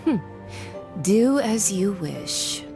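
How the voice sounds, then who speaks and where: An actress playing a cool, imperious villainess in a video game, formal and condescending. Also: female voice, mid-range voice, very animated, extremely slow, creaky, over music, exaggerated voice. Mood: haughty, cool.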